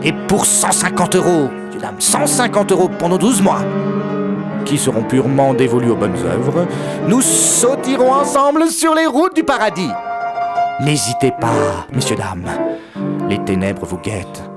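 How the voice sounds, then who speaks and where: A man's voice: huge pitch swings, measured pace. A man as Wolfie, a showy fake preacher. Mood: preachy. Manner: theatrical preaching sales pitch. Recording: music bed behind.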